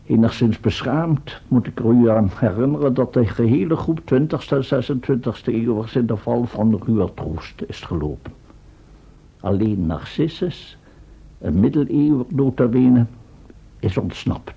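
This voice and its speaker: Radio play professor: pompous, pedantic, very dark husky voice, muffled recording. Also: male voice, mid-range voice, animated, measured pace, full character voice. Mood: solemn. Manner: formal, wordy, pedantic. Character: pompous, easily affronted male professor. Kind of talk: radio drama acting.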